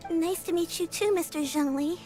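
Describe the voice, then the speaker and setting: A woman's voice: very high voice, moderate pace, over loud music. An actress voicing a curious, eager young female disciple in a video game, talking in a casual youthful way. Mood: curious, cheerful.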